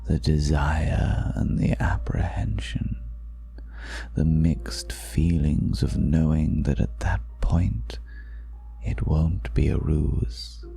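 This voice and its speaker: A man playing a seductive, ageless vampire in an intimate ASMR roleplay, speaking slowly and half in whispers, teasing with quiet menace, close to the microphone. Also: heavily acted. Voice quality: very deep, gravelly. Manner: slow, breathy half-whispered delivery with lingering pauses.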